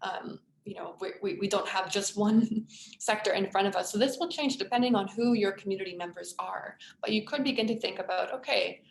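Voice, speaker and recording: A woman runs an online workshop, her voice carrying a gravelly fry. She talks things through thoughtfully, with plenty of ums and restarts. The video-call audio is roomy and echoey. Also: medium pitch, fairly quick, a little hiss or hum. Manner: thoughtful, many fillers and restarts. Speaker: workshop facilitator.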